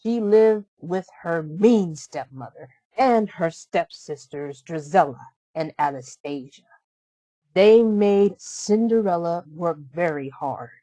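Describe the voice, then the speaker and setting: A woman narrates a children's storybook. She reads slowly and deliberately, with animated, expressive intonation. The sound is dry, with no room around it. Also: on the low side, dry recording, low-quality recording. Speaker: storybook reader. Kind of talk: children's read-aloud narration.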